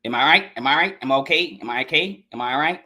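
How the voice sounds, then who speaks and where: A man's voice: medium pitch, animated, quick pace, bright, forceful tone. A man putting on a slimy fake male music executive who fawns and repeats himself. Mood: fawning.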